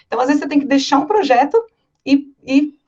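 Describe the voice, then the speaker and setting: A woman's voice: sits high, animated, fast talker, darkish tone. A Brazilian woman, a professional voice-over artist, chatting casually and explaining her work from experience. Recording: slightly dull, muffled audio.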